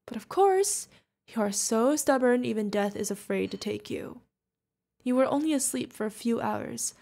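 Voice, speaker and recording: A woman's voice: a little high, gravelly, darkish tone. A woman playing a fierce yet tender witch mentor who is protective of an adopted pupil, in an emotional fantasy audio roleplay. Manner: emotional, commanding, affectionate, pleading. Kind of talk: ASMR fantasy roleplay acting.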